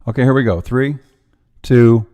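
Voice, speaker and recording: A man's voice: mid-range voice, fairly even intonation, normal speed, warm tone. A man producing the show, giving the guest practical instructions and muttering to himself while he fixes the setup. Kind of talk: technical setup talk.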